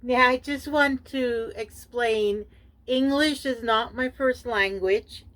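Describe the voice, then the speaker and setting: Slow, hesitant Canadian woman vlogger. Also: on the high side, fairly bright tone, rough recording. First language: German. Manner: hesitant, many fillers and self-corrections. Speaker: crafting vlogger. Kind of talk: casual show-and-tell.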